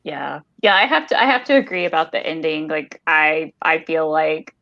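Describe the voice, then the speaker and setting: A woman's voice: low voice, normal speed, dry recording. Woman chatting about books, slightly dull audio. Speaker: podcast co-host discussing a short story. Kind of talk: casual book discussion.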